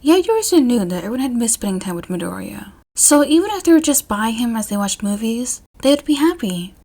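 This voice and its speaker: A woman's voice: on the high side, big pitch swings, a little fast, vocal fry, mellow. A woman reading scripted fiction aloud as an audiobook-style narrator. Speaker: podfic narrator.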